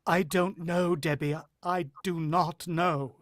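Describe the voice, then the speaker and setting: Radio drama lead: man to play a desperate husband narrating a siege, slightly high-pitched, grave and intense delivery. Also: fairly even intonation, slow pace, no echo. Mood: subdued, resigned. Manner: grave, clipped, intense storytelling.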